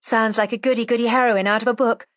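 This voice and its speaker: A woman's voice: on the high side, fairly even intonation, fast talker, a bit bright, no room sound, highs cut off, voice pushed up, natural voice. A woman voicing a breezy upper-class lady in a storybook, with a pleasant, emphatic, teasing drawl.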